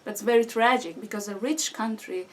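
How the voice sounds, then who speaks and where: A Syrian woman in her thirties, a guest speaking hesitant with frequent restarts and fillers. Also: fairly high pitch. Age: 30s. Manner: halting non-native English with restarts and fillers. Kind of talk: conversational interview answers. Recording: distant mic with faint background noise.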